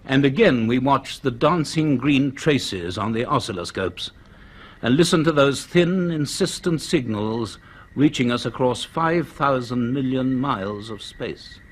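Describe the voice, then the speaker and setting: A grave university professor in a radio serial. He speaks with measured formality and a dry authority, in a middling pitch. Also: lively intonation. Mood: grave, reflective. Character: grave, dryly authoritative male university professor recording his notes. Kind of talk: dramatised narration in a radio serial.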